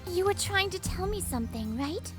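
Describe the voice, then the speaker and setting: A woman's voice: extremely high pitch, lively intonation, moderate pace, over music. A woman voicing a serious, puzzled young female genius with a direct, questioning manner in a visual novel fan dub. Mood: earnest, probing. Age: young adult.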